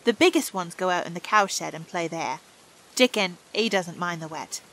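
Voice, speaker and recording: An actress playing a talkative, kindly young housemaid. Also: female voice, fairly low pitch, moderate pace, fairly bright tone, poor audio. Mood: chatty. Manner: homely and talkative.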